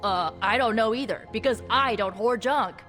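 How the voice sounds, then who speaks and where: A woman's voice: fairly high pitch, moderate pace, a bit bright, natural voice. A woman playing a young sardonic sorceress in a video game, with an American accent and a casual, teasing, talkative manner. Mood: playful. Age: young adult. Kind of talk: video game voice acting, conversational. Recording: background music under the dialogue.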